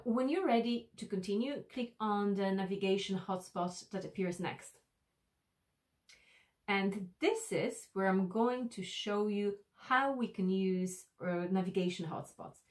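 A woman in her thirties presenting an instructional tutorial to camera, explaining step by step in a deliberate, slightly hesitant way. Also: medium pitch. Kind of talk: instructional screencast walk-through to camera.

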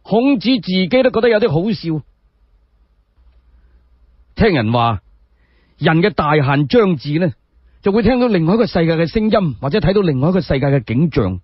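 Expressive, husky male Cantonese storyteller. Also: a little high, normal speed, pauses often, dry recording. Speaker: solo storyteller. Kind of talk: dramatic scripted storytelling narration. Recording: dry, dull lo-fi audio.

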